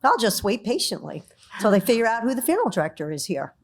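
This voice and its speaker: A woman speaking on a business panel, a funeral director with a loud voice and a brisk, animated way of talking that she says she has learned to slow down. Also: medium pitch, vocal fry. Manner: brisk, animated, laughs between points. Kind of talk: panel discussion.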